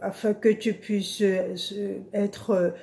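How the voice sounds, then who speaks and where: A woman preacher talks to her online followers in a slow, unhurried way. Her voice is mellow and soft, and she pauses often with hesitant fillers as she explains Bible verses. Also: medium pitch, little pitch movement. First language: an African language she names as her mother tongue. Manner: slow, hesitant speech with frequent "euh" fillers. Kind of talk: informal online devotional talk.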